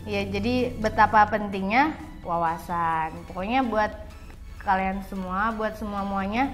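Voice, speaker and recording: A woman's voice: mid-range voice, measured pace. Young woman chatting over music. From Java, Indonesia. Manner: informal, addresses viewers as friends. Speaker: co-host of a language vlog. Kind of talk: casual chat explaining words to viewers. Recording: background music.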